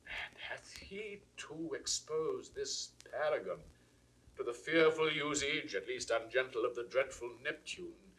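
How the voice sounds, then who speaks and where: An actor playing an aging, remorseful king in classical Shakespearean verse, with a British accent and a measured, grandly declamatory delivery. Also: mid-range voice, fairly bright tone, poor audio. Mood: remorseful, courtly.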